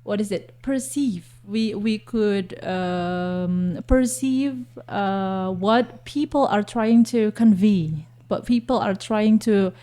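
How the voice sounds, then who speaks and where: A woman, speaking as a guest teacher on a radio talk show, pausing often to search for words. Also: mid-range voice, mellow. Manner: hesitant, searching for words with repeated fillers.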